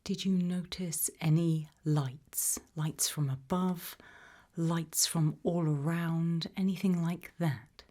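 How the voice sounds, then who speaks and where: A British woman speaking gently and reassuringly, mostly in a whisper, as an interviewer in an ASMR roleplay. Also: low-pitched, steady tone, takes it slowly. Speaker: ASMR roleplay host playing an abduction investigator.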